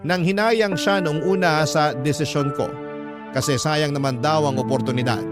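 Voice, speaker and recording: A man's voice: on the high side, normal speed. A man narrating a scripted first-person life story for a radio drama. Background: music.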